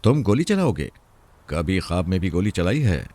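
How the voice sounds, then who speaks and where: A man's voice: low-pitched, big pitch swings, moderate pace, darkish tone, no room sound. A man voicing a fearless bandit chief in a story, with a menacing, mocking, commanding manner. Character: sneering, fearless adult male bandit chief.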